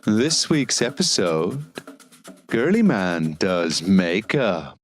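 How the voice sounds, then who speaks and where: A man's voice: deep voice, expressive, slow, dry recording. A man reading a scripted episode title as a cartoon announcer. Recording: music behind the voice.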